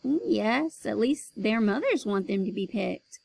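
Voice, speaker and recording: A woman's voice: mid-range voice, animated, a little slow, creaky, a little mellow, no echo. A woman reading aloud, voicing a cheerful, polite young woman who works at an advertising agency.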